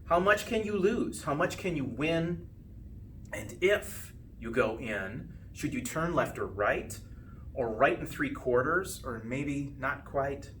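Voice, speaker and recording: A man reading a rhyming children's storybook aloud with expressive, sing-song phrasing and drawn-out line endings. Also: medium pitch. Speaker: school principal reading a storybook. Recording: distant mic with faint background noise.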